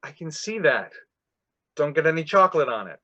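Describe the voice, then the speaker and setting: A man playing a doting, harried father in a play performed as a video call, hurried but indulgent and gently scolding. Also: a little high, big pitch swings, muffled recording, heavily acted. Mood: flustered.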